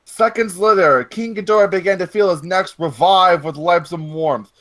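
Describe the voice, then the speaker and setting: A man with a high voice reads fan fiction aloud as host. He is jokey and riffs on the text, repeating lines for emphasis and adding asides. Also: fairly even intonation, normal speed, a bit bright. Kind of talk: mocking read-aloud with joking asides.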